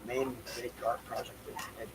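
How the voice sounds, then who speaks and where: A man's voice: medium pitch, little pitch movement, normal speed, noisy background. A man hosting a livestream in rambling, unscripted talk, loosely talking things through to himself and repeating phrases. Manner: loose, talks to himself while setting up. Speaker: livestream host.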